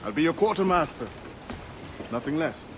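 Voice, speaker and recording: Man as dignified quartermaster, higher-pitched. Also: animated, noisy background, close to an everyday voice. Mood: reserved, firm. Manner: formal, measured wording, reserved. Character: dignified, measured male pirate quartermaster. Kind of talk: video game cutscene acting.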